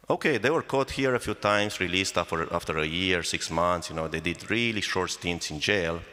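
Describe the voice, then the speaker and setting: A man's voice: low voice, pushed and bright. A man with a Romanian accent, explaining things emphatically as a panel moderator. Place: festival hall. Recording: echoey hall sound.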